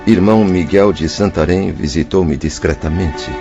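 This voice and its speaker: A man's voice: low voice, moderate pace. An actor playing an anguished, repentant male spirit in a radio drama, plaintive and formal in his wording. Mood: sorrowful recollection. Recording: muffled lo-fi audio with music. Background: background music.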